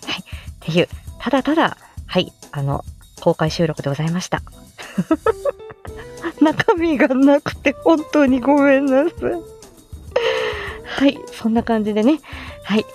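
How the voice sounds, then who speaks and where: A woman's voice: deep voice, darkish tone. A woman rambling casually to her listeners as a stream host, giggly and self-deprecating. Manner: giggly, self-deprecating, laughs often at herself.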